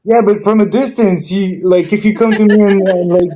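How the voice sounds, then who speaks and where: High-voiced man. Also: fairly even intonation, crowd noise. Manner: hesitant, halting, with many ums and restarts. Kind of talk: casual chat.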